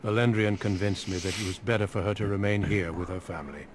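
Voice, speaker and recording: Low, courteous veteran warrior played by a man. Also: fairly even intonation, a little hiss or hum, close to an everyday voice. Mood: earnest, measured. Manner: formal, measured, polite. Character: courteous, solemn veteran Grey Warden recruiter, a man. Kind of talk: video game dialogue acting.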